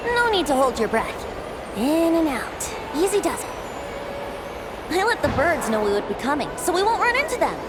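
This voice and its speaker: High, lilting, playful boy bard. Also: big pitch swings, normal speed, heavy background noise. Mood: gentle, reassuring. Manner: lyrical, airy, teasing, flowery phrasing. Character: playful, poetic, carefree young male wind bard. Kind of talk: scripted game character dialogue. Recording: studio voice over background music.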